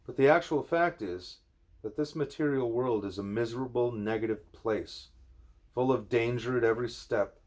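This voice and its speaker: A man reads a spiritual letter aloud at home, steady and earnest. His voice sits at an ordinary middle pitch, and he lets deliberate pauses fall between phrases. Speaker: reader of a spiritual book.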